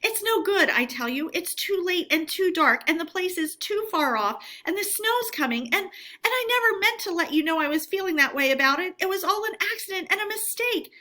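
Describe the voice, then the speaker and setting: A woman's voice: very high-pitched, animated, a little fast, bright, forceful tone, pitched above the performer's own voice, full character voice. A woman voicing a timid, homesick male mole in a storybook, plaintive and sobbing as he pleads. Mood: pleading, alarmed. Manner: sobbing, plaintive, broken delivery.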